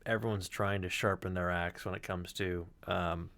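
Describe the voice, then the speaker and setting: A man talking casually as a podcast sound designer, telling stories in an animated, sweary way. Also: low-pitched, normal speed, gravelly, no echo. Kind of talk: casual chat and storytelling.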